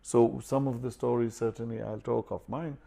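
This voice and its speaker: A man's voice: medium pitch, fairly even intonation, normal speed, creaky, mellow. A man born in Pakistan, an author and publisher, speaking earnestly and outspokenly in an interview. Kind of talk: reflective interview talk.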